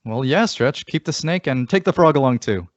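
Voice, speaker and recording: A man playing a shy, slow-on-the-uptake teacher with a mild, hesitant manner in an old-time radio comedy. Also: medium pitch, expressive, lo-fi sound, close to an everyday voice. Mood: agreeable.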